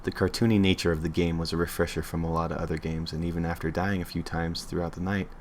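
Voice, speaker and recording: A man's voice: low voice, little pitch movement, fast talker, a little mellow, slight background noise. A man reading a creepy internet story aloud on a livestream in an offhand way, stumbling and correcting himself as he goes.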